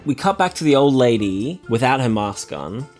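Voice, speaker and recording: Someone recapping a movie, mid pitch, wry and jokey, bit of music under it. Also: sing-song, moderate pace, darkish tone. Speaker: film review co-host. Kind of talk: casual scene-by-scene film recap.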